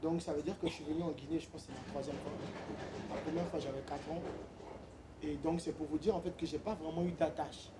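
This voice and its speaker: A man's voice: a little high, steady tone, fairly quick, heavy background noise. A young man from Benin, a musician guest, talking casually in an interview with many hesitant fillers.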